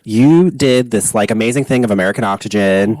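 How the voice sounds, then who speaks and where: A man's voice: on the low side, brisk, no echo, rough recording. Informal man swapping stories, dry sound. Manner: informal, animated storytelling with swearing. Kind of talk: casual chat.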